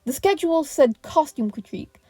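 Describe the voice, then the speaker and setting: A woman's voice: high voice, lively intonation, fairly quick, mellow, no room sound, pitched above the performer's own voice. Woman as a defensive, proud male hero. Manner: defensive, protesting.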